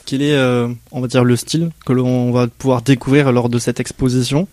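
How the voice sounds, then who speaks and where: A man's voice: medium pitch, little pitch movement, normal speed, darkish tone, no room sound. A man hosting a local radio interview, hesitant, with frequent fillers as he introduces guests and asks questions. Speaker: radio host.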